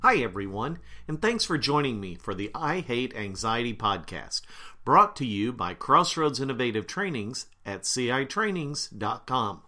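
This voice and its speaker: Podcast host: middle-aged man, bright timbre, mildly stuffed up with a cold, unhurried and full of fillers. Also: mid-range voice, very animated. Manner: unhurried, with fillers and frequent throat-clearing. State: slightly congested, with a cold. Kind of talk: casual explanatory talk.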